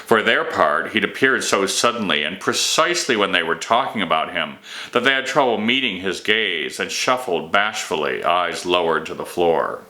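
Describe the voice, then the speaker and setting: A man reading his own fantasy novel aloud in an expressive storytelling style. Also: deep voice, moderate pace. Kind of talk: expressive audiobook-style narration of fantasy fiction.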